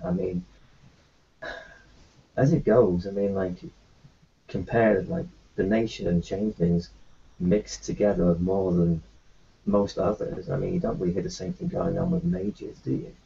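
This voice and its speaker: Man, gravelly and husky, low and darkish, rambling in-character player, lo-fi capture. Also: mellow, slight background noise. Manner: rambling, hesitant, many restarts and fillers. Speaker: player voicing his character in a tabletop game. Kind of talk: in-character roleplay talk.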